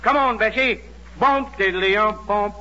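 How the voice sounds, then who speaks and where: A man's voice: high-pitched, measured pace. An actor playing a cocky, drawling teenage country boy with a rural American accent in an old radio comedy. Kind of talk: 1940s radio comedy acting. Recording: very noisy, dull old radio broadcast.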